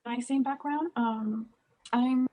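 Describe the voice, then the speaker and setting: A woman's voice: a little high, fairly even intonation, takes it slowly, phone-quality sound. A young woman in her early twenties, a student guest answering interview questions casually, with frequent ums and restarts. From United States. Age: young adult. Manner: hesitant, many ums and restarts.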